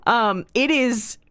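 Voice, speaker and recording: A woman's voice: a little high, fairly bright tone, smooth, no room sound, clean studio sound. A woman livestreamer rambling casually to her viewers in a wry way, leaning on and repeating words with exaggerated emphasis.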